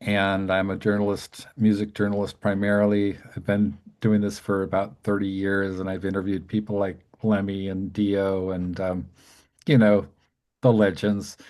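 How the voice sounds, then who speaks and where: A middle-aged man hosting an interview show, talking in a rambling, halting way with many restarts and fillers. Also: low-pitched, little pitch movement, darkish tone. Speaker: podcast host and music journalist. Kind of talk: casual interview.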